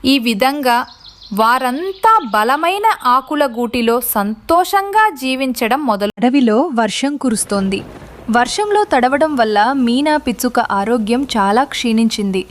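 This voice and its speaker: High-pitched woman narrator. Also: expressive, moderate pace. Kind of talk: scripted children's cartoon story narration.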